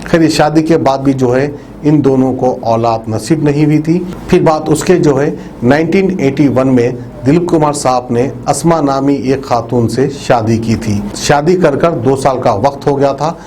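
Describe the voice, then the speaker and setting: A man with a dark, mellow voice at an ordinary pitch delivers a news tribute straight to viewers. He explains in a conversational, repetitive way, leaning on habitual filler phrases. There is noticeable background noise behind him. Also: normal speed. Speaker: news presenter. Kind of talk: narrated obituary tribute report addressed to viewers.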